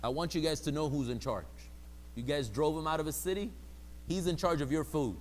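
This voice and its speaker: A man's voice: fairly high pitch, a little fast, a little mellow. A man giving a conversational religious lecture, sometimes acting out quoted people in a mocking voice. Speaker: religious teacher.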